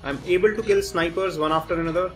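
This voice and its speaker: A man's voice: sits high, steady tone, quick pace, soft background music. A man giving a methodical, explanatory phone review commentary while demonstrating. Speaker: tech reviewer, host.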